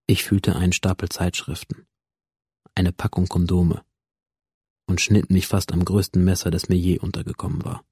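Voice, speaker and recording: A withdrawn, bitter young man in a radio drama, telling his story in a low, mellow voice. He is understated and matter-of-fact, with a slightly husky edge and a close, dry sound. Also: little pitch movement, normal speed, no room sound. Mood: brooding, detached. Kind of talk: radio drama, introspective first-person narration.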